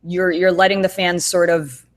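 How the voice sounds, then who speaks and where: A woman's voice: lowish voice, flat delivery, moderate pace. A woman co-hosting a casual podcast chat over a video call, talking in a thoughtful, rambling way with many fillers and restarts. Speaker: co-host.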